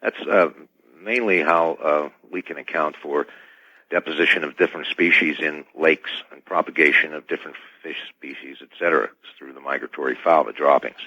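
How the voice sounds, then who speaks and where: A man speaking as a guest in a radio interview, choosing his words carefully and formally, with hesitant, measured phrasing. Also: a bass voice, sharp tone, breathy edge, dry recording. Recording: dull band-limited radio line.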